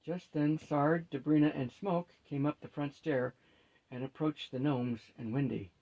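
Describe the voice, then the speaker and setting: A man's voice: a little high, steady tone, a little slow, mellow, lo-fi sound. A man reading a fantasy story aloud as scripted narration.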